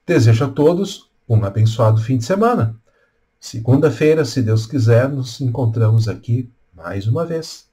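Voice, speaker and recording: An older man with a Brazilian accent, a pastor giving a devotional talk in measured, deliberate phrases with pauses. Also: medium pitch, expressive, warm tone, breathy edge. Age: older, around 60s. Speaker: Lutheran pastor. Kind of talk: devotional talk with scripture reading and prayer. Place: small room at home or office, indoors. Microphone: webcam at desk distance.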